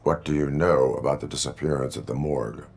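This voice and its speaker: An actor playing a stoic cyborg policeman in a video game, gravelly and formal, with clipped, flat questions. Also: fairly quick, exaggerated voice. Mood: stoic, businesslike. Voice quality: gravelly, very deep. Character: stoic cyborg policeman, part man, part machine.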